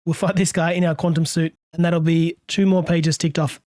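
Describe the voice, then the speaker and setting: A hoarse man, a little under the weather, casually musing aloud as he narrates his game session and chuckling often. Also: a little high, level tone, normal speed, dark tone, no echo, studio quality. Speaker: let's play host. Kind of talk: gameplay commentary.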